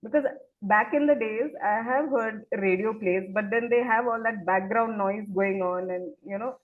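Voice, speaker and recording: A woman's voice: mid-range voice, normal speed. A woman hosting an interview in a conversational way, asking questions of her guest. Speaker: interview host. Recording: muffled, phone-like narrow band.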